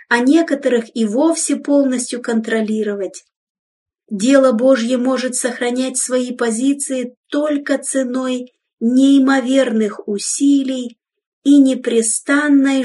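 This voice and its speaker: A woman giving a solemn, measured devotional reading in an exhorting tone, heard from some distance from the microphone. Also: a little high, darkish tone. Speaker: reader of devotional text.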